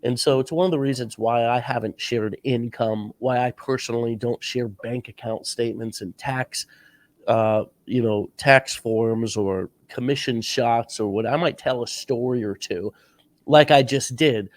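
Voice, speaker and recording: A man's voice: mid-range voice, a little slow. Stuffed-up man with a sore throat. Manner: earnest, coaching, advice-giving. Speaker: podcast host. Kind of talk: casual interview chat.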